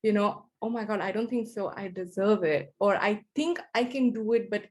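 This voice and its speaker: A woman's voice: medium pitch, moderate pace, darkish tone. A woman with Indian roots, hosting an interview in a chatty, casual way full of fillers. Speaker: host, optometrist.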